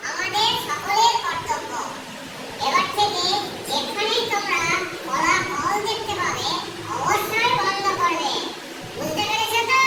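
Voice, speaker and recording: A presenter giving a scripted awareness talk for a school puppet show, instructing children in a didactic way. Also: very high voice. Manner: didactic, instructing children and checking they understand. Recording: distant microphone with clear background noise.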